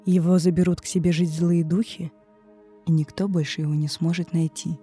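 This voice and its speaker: Woman, scripted storytelling, short measured phrases, reflective delivery, prominent music bed. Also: lowish voice, level tone, warm tone. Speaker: narrator of an audio performance. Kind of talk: scripted personal storytelling narration.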